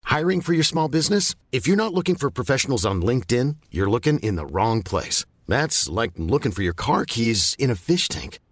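A man's voice: mid-range voice, sing-song, brisk, few pauses, soft background music. A man delivering a scripted advertising read as an announcer.

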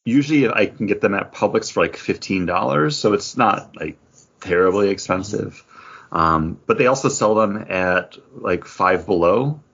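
A man with a fairly deep, unclear-edged voice talks casually as a podcast co-host. He rambles along conversationally. The recording is a bit dull and lo-fi. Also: expressive, moderate pace, a bit bright, breathy edge. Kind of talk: casual chat.

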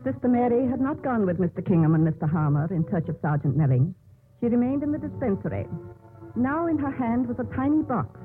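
A man narrating a 1950s radio drama in a scripted, dramatic storytelling style. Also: sits high. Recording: muffled radio broadcast with loud music.

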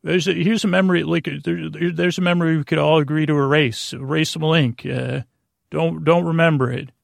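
A middle-aged American man with a creaky, gravelly voice, hosting a sleep podcast in a meandering, halting, whimsical monologue. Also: fairly high pitch, steady tone, dry recording, studio quality. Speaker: podcast host. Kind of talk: rambling bedtime monologue to help listeners sleep.

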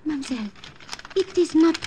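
A fluttery maid. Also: female voice, high voice, animated, brisk, mellow, slight background noise. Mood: flustered. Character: young French lady's maid, flustered, eager. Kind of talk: 1950s radio drama acting.

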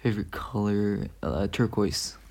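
Gravelly, laid-back young man from Spain. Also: fairly low pitch, a little slow, darkish tone. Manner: laid-back, joking, hesitant with fillers. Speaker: guest interviewee. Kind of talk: casual interview chat. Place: indoors at a family home.

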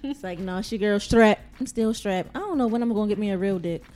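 A woman's voice: mid-range voice, brisk, a little mellow, soft background music. A woman co-hosting a podcast, tossing out teasing, crude banter in casual chat. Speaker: podcast co-host.

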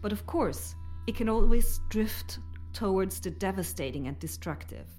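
Female podcast host, mid-pitched, articulate scripted delivery, faint music underneath. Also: expressive, moderate pace, dark tone.

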